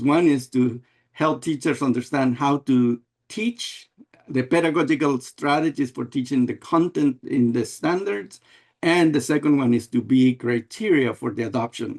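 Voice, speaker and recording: An older man from America, giving an unhurried webinar presentation over a video call and often restarting his phrases. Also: medium pitch, a little mellow. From Guatemala and El Salvador. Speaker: guest presenter, math educator.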